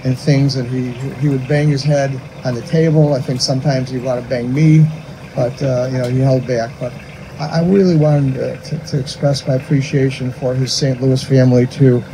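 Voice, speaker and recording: An older man with an American accent giving an informal eulogy in a halting, conversational way outdoors. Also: medium pitch. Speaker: funeral eulogist. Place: outdoors under a cemetery tent. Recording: steady outdoor background noise.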